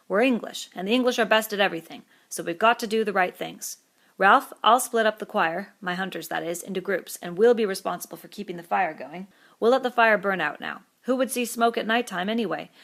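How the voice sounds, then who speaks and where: A woman's voice: medium pitch, vocal fry, a bit bright. A woman voicing a domineering, contemptuous schoolboy leader. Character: bossy, aggressive schoolboy choir leader.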